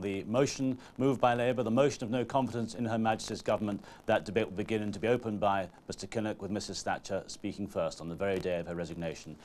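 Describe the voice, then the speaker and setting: A middle-aged man presenting live political news from a studio in a brisk, formal manner. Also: on the low side, darkish tone. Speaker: political correspondent. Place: television studio.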